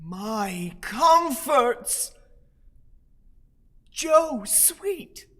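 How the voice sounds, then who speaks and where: An anguished old lord, high and reverberant. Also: male voice, very animated, pushed and bright, exaggerated voice. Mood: anguished, wailing. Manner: wailing, crying out in short drawn-out calls. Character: anguished, imperious old lord, groaning and commanding. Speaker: actor in a radio play. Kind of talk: theatrical radio play acting. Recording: long hall reverb.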